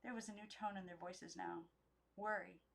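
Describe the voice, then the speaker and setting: A young woman reads a storybook aloud in an unedited, expressive narration with occasional stumbles. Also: on the low side, normal speed, creaky. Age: young adult. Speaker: amateur book reader.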